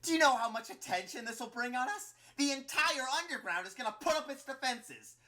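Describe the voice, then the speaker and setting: A narrator acting a high, scheming angry flower creature. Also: expressive, slow, sharp tone, heavily acted. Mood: furious. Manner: roaring, spitting, disingenuous.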